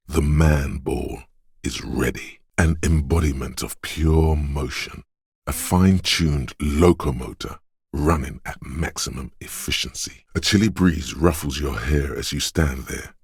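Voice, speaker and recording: An extremely low, rasping male voice serves as the solemn inner voice of a fighter's instinct in a video game. The delivery is measured and deliberate, with dramatic weight on each phrase. The recording is dry and close. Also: no echo, full character voice. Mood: intense, solemn. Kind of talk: scripted game narration, inner voice.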